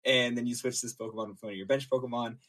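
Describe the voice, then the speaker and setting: A man's voice: mid-range voice, steady tone, fast talker, a bit bright. A man co-hosting a casual podcast, joking and reading out details with lots of fillers. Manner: jokey, reads out stats with many fillers. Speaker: podcast co-host and intern.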